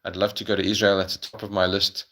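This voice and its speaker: A man's voice: low voice, flat delivery, fast, bright, forceful tone. A middle-aged man with a South African accent, answering viewers' questions on a casual livestream, hesitant, with many ums and restarts.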